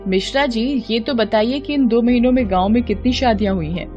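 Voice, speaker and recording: A woman's voice: fairly high pitch, a little fast, warm tone, highs cut off, soft background music, voice pushed up, natural voice. A woman playing a commanding lady police inspector. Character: confident, commanding female village police inspector. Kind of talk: cartoon story acting.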